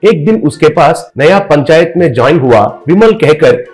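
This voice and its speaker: A man's voice: on the high side, moderate pace. Man narrating a cartoon story over music. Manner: steady storytelling.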